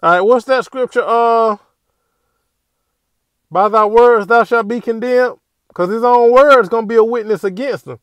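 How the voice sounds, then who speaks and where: A man's voice: very high voice, normal speed, pauses often, fairly bright tone, clean tone, no room sound. A man giving casual, rambling commentary over a video, leaning on fillers like "all right" and "man". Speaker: commentator reacting to a street video.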